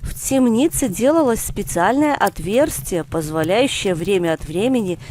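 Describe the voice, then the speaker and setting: Storybook grandmother, read by a woman: older, knowing, teasing, theatrical, animated delivery. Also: medium pitch, moderate pace, a little hiss or hum, lightly acted. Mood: storytelling.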